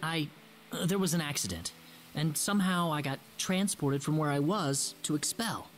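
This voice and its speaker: Game hero, male actor: young, earnest, caring, very expressive pitch. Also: normal speed, faint music behind, natural voice. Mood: hesitant, sincere. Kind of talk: English video game dub acting.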